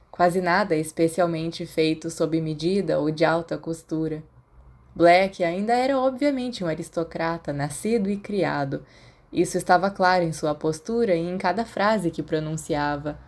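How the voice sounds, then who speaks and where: A woman's voice: fairly low pitch, moderate pace, a little mellow. A woman with a Brazilian accent reading scripted fiction as a podfic narrator.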